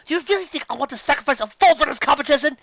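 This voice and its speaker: A man's voice: extremely high pitch, expressive, rapid-fire, vocal fry, fairly bright tone, no echo, highs cut off, voice pushed up, heavily acted. A man voicing an earnest, determined young farmer mare in a story, with a pleading, insistent manner. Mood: indignant.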